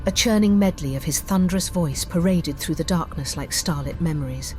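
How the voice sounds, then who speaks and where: A woman storyteller reading scripted fantasy narration in a measured, theatrical way. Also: low voice, dark tone. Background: music bed.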